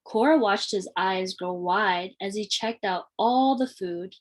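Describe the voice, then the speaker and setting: A woman reading a children's storybook aloud in a deliberate, expressive way, with clearly separated phrases. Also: medium pitch. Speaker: children's read-aloud reader.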